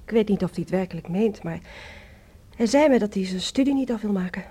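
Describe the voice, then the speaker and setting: An actress playing a worried mother in a radio drama, polite and earnest, with a breathy husky voice. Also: mid-range voice, animated, a little fast, warm tone. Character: worried mother, a married housewife.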